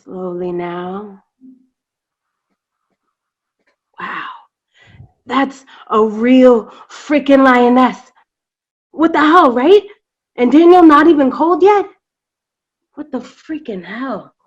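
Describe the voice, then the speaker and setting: A woman's voice: high voice, lively intonation, takes it slowly, pauses often, full character voice. A woman playing a blunt, sarcastic, exasperated lion tamer who runs a circus, in a staged play reading. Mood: tense, stunned. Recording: dull video-call audio.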